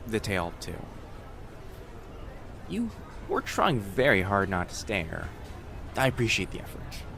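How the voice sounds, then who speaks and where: A man voicing a friendly, gently teasing young fox-spirit college student in an immersive roleplay audio, speaking casually and reassuringly to the listener. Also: lowish voice, a little hiss or hum. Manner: soft-hearted, reassuring, casual conversational delivery. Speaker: voice actor.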